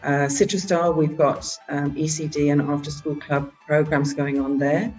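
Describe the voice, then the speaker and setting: A woman who heads a charity, presenting at a virtual annual meeting in a halting way, with frequent filler sounds. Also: sits low, level tone, darkish tone, soft background music, poor audio. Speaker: head of a charity organisation.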